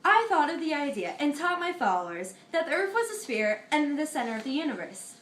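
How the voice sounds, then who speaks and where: A woman's voice: high-pitched, big pitch swings, a bit bright, echoey room, slight background noise. A teenage girl playing the philosopher Pythagoras in a school mock interview, reciting her answers earnestly with small slips. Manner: earnest, recited, a little halting. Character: ancient Greek philosopher Pythagoras, an older man, earnest. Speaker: student in a school history project.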